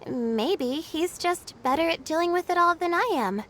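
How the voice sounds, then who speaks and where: High-voiced, pensive game girl. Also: animated, normal speed, fairly bright tone. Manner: musing, hesitant. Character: gentle, pensive girl, thoughtful observer. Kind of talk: anime-style video game voice acting.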